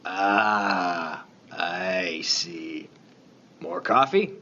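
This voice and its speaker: A man playing a laconic, folksy lighthouse keeper in a radio play, talking in a plain, unhurried, colloquial way. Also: low-pitched, expressive, pushed and bright, highs cut off, full character voice. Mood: folksy, guarded. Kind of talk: 1950s-set radio play dialogue.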